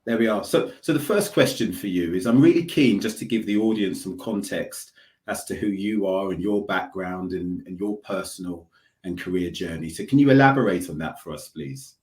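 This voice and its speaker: A man hosting an online conference session, speaking in a polite, measured, formal way. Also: deep voice, a little mellow. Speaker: host. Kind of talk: webinar hosting. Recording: roomy echo.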